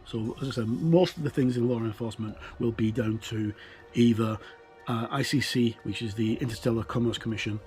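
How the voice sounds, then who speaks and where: A man's voice: medium pitch, steady tone, darkish tone, soft background music. A middle-aged man giving a casual walkthrough commentary on a book, hesitant and often correcting himself. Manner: hesitant, halting, self-correcting. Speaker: game reviewer.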